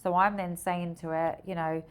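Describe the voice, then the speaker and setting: Lower-pitched woman chatting as guest. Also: level tone, moderate pace, clear, resonant tone. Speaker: tech founder interviewed as guest. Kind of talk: casual conversational interview.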